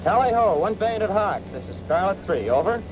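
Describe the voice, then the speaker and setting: A man playing a brisk fighter pilot in a 1940s military film, making clipped, urgent radio calls from the cockpit. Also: sits high, very animated, over music. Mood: alert, urgent. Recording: muffled, noisy 1940s radio-call soundtrack.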